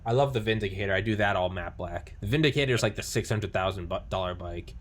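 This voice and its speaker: A man's voice: on the low side, quick pace. Guy rambling about game cars, tons of likes and swearing, gets hyped and laughs. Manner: rambling, full of fillers and swearing; excitable storyteller, laughing as he goes. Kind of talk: casual gaming chat.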